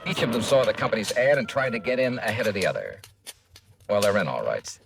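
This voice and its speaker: An actor playing a brisk, wry, seasoned insurance investigator in a 1950s American radio drama, with clipped conversational delivery. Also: male voice, on the low side, expressive, creaky, music in the background. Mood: wry, explaining. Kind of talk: 1950s radio detective acting. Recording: old radio drama recording, faint noise.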